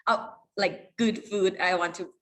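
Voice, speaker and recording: A woman from Thailand with a Thai accent, a chef introducing herself on a panel in halting, non-native English. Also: mid-range voice, steady tone, fairly bright tone. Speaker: chef and restaurant owner.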